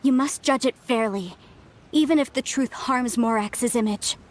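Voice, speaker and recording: A woman's voice: fairly high pitch, a little slow, natural voice. An actress voicing an earnest, idealistic young female archaeologist in a video game. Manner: earnest and easily stirred. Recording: game score playing faintly.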